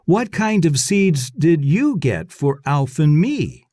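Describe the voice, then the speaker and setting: A man voicing a practical, determined young girl in a children's storybook, with plain, deliberate phrasing. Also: fairly high pitch, sing-song, warm tone, dry recording, clean studio sound, pitched above the performer's own voice, full character voice. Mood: curious.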